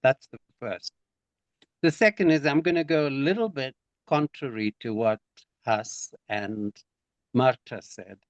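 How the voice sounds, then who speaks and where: A man's voice: medium pitch, a little slow, no echo. A man joining a conference discussion over a video call, talking informally and a little flustered as he starts. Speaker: online conference participant. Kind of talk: casual remarks in a discussion. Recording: dull, lo-fi call audio.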